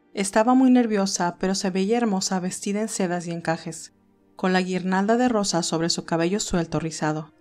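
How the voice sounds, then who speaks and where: A woman's voice: mid-range voice, darkish tone. A woman reading scripted narration of a romantic story.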